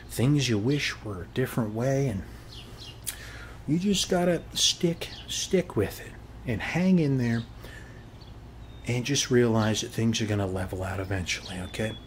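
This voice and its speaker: A man's voice: medium pitch, expressive, measured pace, slight background noise, lightly acted. A man playing a friendly, chatty suburban neighbor in a casual ASMR roleplay, rambling reassuringly in a folksy way and tagging phrases with short check-in questions. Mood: friendly, encouraging. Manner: folksy, reassuring, repeats "okay?" as a tag. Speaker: ASMR performer.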